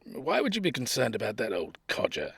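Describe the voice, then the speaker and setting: Grumpy old care home guy, creaky, sarcastic, lively pitch, audio drama. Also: brisk, heavily acted. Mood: teasing. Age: older. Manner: dry, sardonic. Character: grumpy, sceptical, teasing old male care home resident. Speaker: actor.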